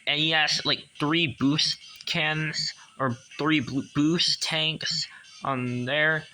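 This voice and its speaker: A man giving a casual hands-on toy review as a collector, enthusiastic but halting and repetitive. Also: a little high, steady tone, sharp tone, rough recording.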